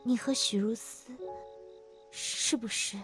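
Woman doing a shy lovesick girl in a radio play, high voice, halting and anguished, music under it. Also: fairly bright tone. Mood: nervous, pleading. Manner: hesitant, halting, emotional. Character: timid, lovestruck young woman, earnest and anguished. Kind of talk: Chinese audio drama acting. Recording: music behind the voice.

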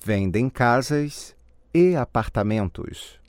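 A man giving scripted model answers in a language drill with careful, deliberate enunciation. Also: mid-range voice, animated, a little mellow, no room sound, studio quality. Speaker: language course model speaker.

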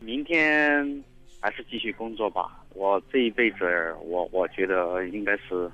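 A man calling in to a radio show, speaking earnestly and haltingly, often repeating his words. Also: on the low side, dry recording. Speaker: caller. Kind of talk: phone-in conversation. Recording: muffled phone line with faint music.

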